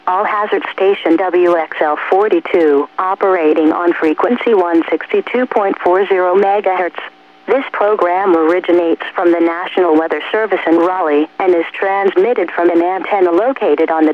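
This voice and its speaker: A man reading a formal scripted station identification over weather radio. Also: high-pitched. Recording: dull, hummy weather radio reception.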